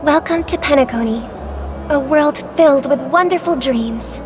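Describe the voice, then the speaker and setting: A woman's voice: high-pitched, normal speed, natural voice. A woman playing a gracious young superstar singer in a video game, speaking politely and formally. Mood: gracious, calm. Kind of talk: scripted game voice acting. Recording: loud music behind.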